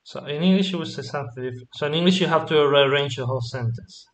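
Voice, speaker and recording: A man from Spain, a native Spanish speaker, teaches a student over a video call. His voice sits a little high and he explains patiently, stacking up examples. The audio carries a roomy echo. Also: fast talker. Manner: explains patiently, gives examples, checks understanding. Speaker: Spanish tutor. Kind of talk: online tutoring session.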